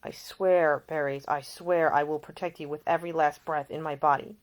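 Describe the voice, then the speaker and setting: A woman's voice: low voice, fairly even intonation, normal speed, lightly acted. A woman voicing an ailing, fiercely protective man in love, emotional and insistent, as audiobook character dialogue. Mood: earnest, tender.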